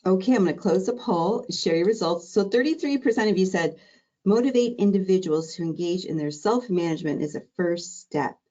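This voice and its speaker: A middle-aged woman, a diabetes educator, teaching an exam-prep webinar in a warm, conversational, encouraging way. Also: fairly low pitch, lively intonation, fairly quick, lo-fi sound. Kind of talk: live online exam-prep lesson.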